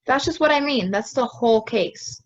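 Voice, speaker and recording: A woman's voice: fairly high pitch, normal speed, natural voice. A young woman playing a stubborn, hot-tempered juror in an amateur audio drama, combative and blunt, on a slightly dull recording. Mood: challenging. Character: stubborn, hot-tempered juror dead set on a guilty verdict.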